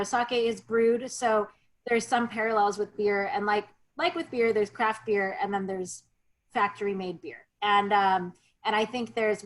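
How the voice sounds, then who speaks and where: A woman guest on a video call, chatting easily with plenty of ums and self-corrections. Her pitch sits in an ordinary middle range, and she sounds like someone in her thirties or forties. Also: rough recording. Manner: chatty, frequent ums and restarts. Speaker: sake expert guest. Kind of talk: casual virtual tasting talk.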